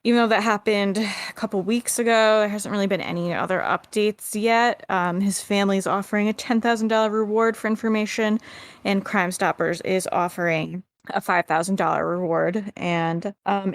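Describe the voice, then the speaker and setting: A woman's voice: medium pitch, moderate pace, no room sound. A woman co-hosting a podcast, chatting casually and reading through case notes aloud. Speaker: podcast co-host.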